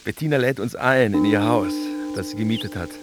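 A man's voice: on the low side, brisk, natural voice. An actor playing an infatuated man musing about his love life in a conversational, earnest radio drama monologue. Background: music.

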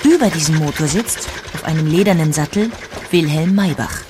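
A woman reading scripted documentary narration in a measured, explanatory way. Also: low-pitched, noisy background. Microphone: studio microphone.

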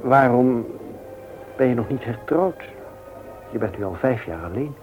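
An actor playing a courteous, gently flirtatious single father in a radio play, polite, bantering and slightly hesitant. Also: mid-range voice, expressive, measured pace, faint music behind, close to an everyday voice. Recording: dull old radio recording with noise.

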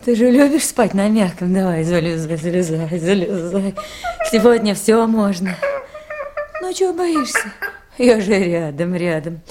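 Actress playing lone woman at river outpost, medium pitch, tender, anxious, self-soothing, Soviet radio drama, clearly noisy recording. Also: lively intonation, a little slow, natural voice. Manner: talking soothingly to a dog and to herself. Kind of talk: Soviet radio play acting. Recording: noisy 1980s radio play, outdoor water ambience.

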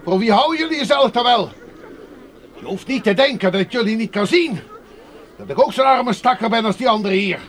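An actor playing an angry, accusing agitator who rants coarsely in a radio drama. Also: male voice, extremely high pitch, expressive, a little fast. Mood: furious, accusing. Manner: ranting, accusing, coarse-mouthed. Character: angry sighted agitator shouting at the gate for the blind. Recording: noisy radio play recording.

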